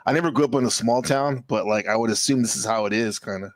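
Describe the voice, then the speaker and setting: A man's voice: mid-range voice, fast talker, no room sound. A man chatting casually on a live stream, laid-back and rambling, often saying bro and man. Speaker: streamer, teacher. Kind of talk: casual chat.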